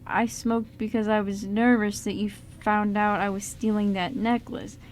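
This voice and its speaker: A woman's voice: medium pitch, level tone, normal speed, lightly acted. A woman playing a curious, puzzled young man in an amateur radio drama, speaking in a casual, hesitant way. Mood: uneasy, curious. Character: curious, puzzled young male friend of time traveler. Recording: noisy amateur radio show recording.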